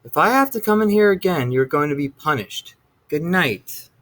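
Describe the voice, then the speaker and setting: A man's voice: medium pitch, big pitch swings, moderate pace, husky. An impatient storybook mother, as a man puts her on, firm and scolding. She clips her warnings short and bends her tone sharply up and down. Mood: stern.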